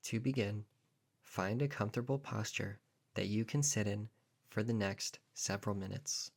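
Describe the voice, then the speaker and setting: A man narrating a guided meditation as a teacher, in measured, deliberate phrases with pauses. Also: on the low side, steady tone, vocal fry.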